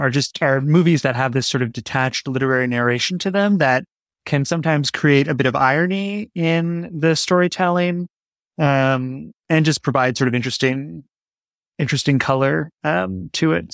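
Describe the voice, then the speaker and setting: A man's voice: on the high side, gravelly, fairly bright tone, no room sound, studio quality. A man speaking conversationally as an interview guest, explaining his creative work in a reflective way. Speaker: audio drama writer, interview guest.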